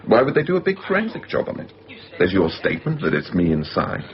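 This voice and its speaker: A man's voice: low voice, huge pitch swings, quick pace, heavily acted. An actor in a radio drama playing a casual but insistent, scheming man obsessed with films. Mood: scheming, persuasive. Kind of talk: 1990s radio drama acting. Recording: muffled, noisy radio drama recording.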